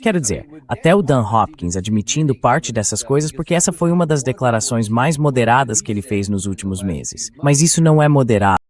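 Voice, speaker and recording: A man's voice: medium pitch, lively intonation, a little fast, hardly any pauses, darkish tone. A man with a Brazilian accent, an AI voice reading translated conversational commentary as an interview host.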